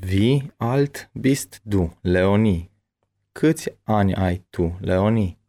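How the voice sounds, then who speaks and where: Low-voiced man reading slowly. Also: warm tone. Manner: careful, deliberate enunciation. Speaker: language lesson reader. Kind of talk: slow scripted reading of a bilingual lesson dialogue.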